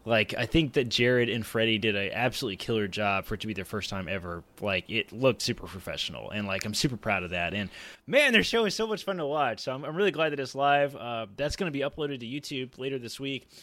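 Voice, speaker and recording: A man's voice: medium pitch, fast, pushed and bright. A man co-hosting a podcast, chatting informally and making casual announcements. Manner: informal, frequent use of "like". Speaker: podcast co-host.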